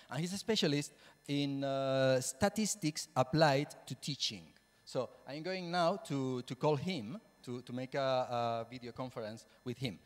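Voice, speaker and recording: A man in his forties with a Spanish accent, a native Spanish speaker, giving a halting conference presentation into a handheld microphone, repeating words for emphasis. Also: mid-range voice, a little mellow. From Spain. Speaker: Spanish teacher and plenary speaker. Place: lecture hall, indoors. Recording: reverberant lecture room.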